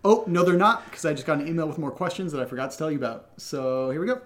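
A man with a slightly high voice co-hosts a loose question-and-answer chat. He stays level-headed and dry, delivering questions and jokes alike in a deadpan, casual way. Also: fast. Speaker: podcast co-host reading listener questions. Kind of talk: casual banter in a Q&A.

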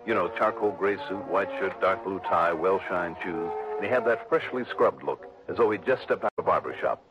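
An actor playing a brisk, dry insurance investigator who narrates his own case in a 1960s radio drama. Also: deep voice, over music, natural voice. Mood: narrating. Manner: clipped, quick, dry and probing. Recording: dull old radio broadcast.

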